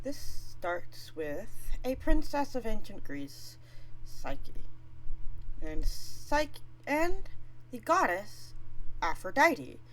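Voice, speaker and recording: A woman's voice: fairly high pitch, big pitch swings, extremely slow, fairly bright tone, rough recording. A woman tells a story off the cuff as a livestream host, her intonation swinging widely. She takes her time, slipping in informal, playful asides. There is noticeable noise behind her voice. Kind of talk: casual, improvised retelling of a myth.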